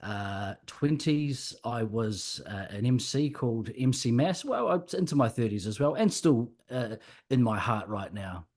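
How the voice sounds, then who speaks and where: A man's voice: medium pitch, lively intonation, a little slow, a little mellow. A man in his forties with a New Zealand accent, a podcast guest telling his story in a friendly, easygoing way with plenty of fillers. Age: 40s. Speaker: guest, electrician and business owner. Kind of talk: casual podcast chat.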